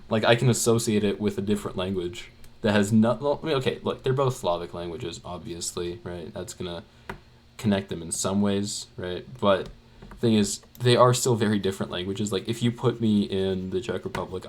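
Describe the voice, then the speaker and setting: A man's voice: lowish voice, a little hiss or hum. A young man chatting casually while he draws, rambling with many fillers and restarts. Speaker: art channel creator. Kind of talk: casual chat while drawing.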